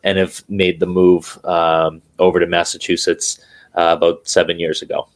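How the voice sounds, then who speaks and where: A man's voice: low-pitched, moderate pace. A young man from New Hampshire, a drummer being interviewed over a video call, talking casually with many ums and restarts. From New Hampshire, USA. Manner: relaxed, hesitant with many fillers and restarts. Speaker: guest drummer. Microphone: remote video call.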